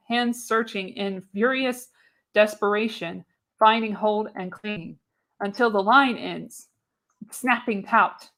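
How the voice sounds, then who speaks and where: A woman reads stage and action directions as the narrator of a radio play. Her voice sits at a medium pitch, and her delivery is dramatic and scripted, with an occasional stumble over a word. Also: a bit bright, low-quality recording. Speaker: narrator, show host. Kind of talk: reading screenplay directions for live radio play.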